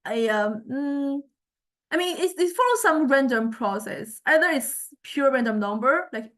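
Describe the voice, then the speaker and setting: Halting woman lecturer with a higher-pitched voice. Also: animated, a bit bright. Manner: halting, searching for words, thinking aloud. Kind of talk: informal software demonstration lecture.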